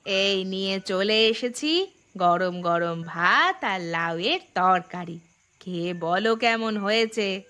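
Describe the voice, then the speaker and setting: A woman playing a practical, chatty village housewife in a Bengali cartoon, speaking in an expressive, homely way. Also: medium pitch, slow, clear, resonant tone, soft background music.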